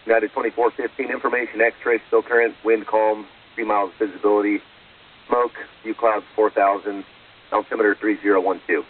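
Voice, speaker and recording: Flat, fast male air traffic controller on radio. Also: lowish voice. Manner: procedural, clipped phrasing. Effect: aviation radio. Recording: muffled, phone-like air traffic radio, distant.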